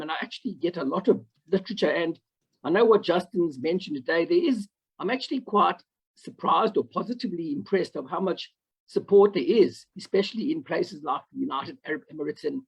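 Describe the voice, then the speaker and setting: A South African man speaks over a dull, lo-fi video call line. His fairly high voice is gravelly and husky, and he wanders through his point with many fillers and restarts. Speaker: audience member asking a question. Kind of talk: online Q&A comment.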